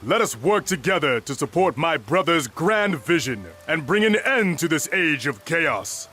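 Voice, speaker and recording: An actor playing a noble, loyal warlord in a video game cutscene, speaking with solemn formality. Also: male voice, on the high side, very animated, measured pace, full character voice. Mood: resolute. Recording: game audio with loud music.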